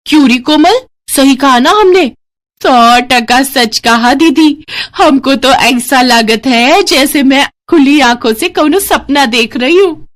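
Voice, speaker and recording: Woman voicing a high-pitched, excitable young village bride. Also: slow pace. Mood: amazed. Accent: rustic eastern Hindi. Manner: wide-eyed, exclaiming, earnest. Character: naive, excitable young village daughter-in-law. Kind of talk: animated moral story character voice.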